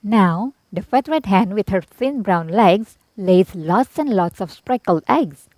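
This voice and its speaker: A woman narrates a children's storybook expressively. Her mid-pitched voice rises and falls in a lively way, and the recording is dry with hardly any room sound. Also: takes it slowly, no echo. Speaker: storybook reader.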